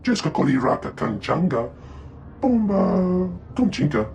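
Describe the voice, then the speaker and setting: A male actor voicing a small, twitchy, excitable alien merchant in a video game, jabbering in an invented tongue with a creaky voice. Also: high voice, measured pace, faint music behind, full character voice. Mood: excited, haggling.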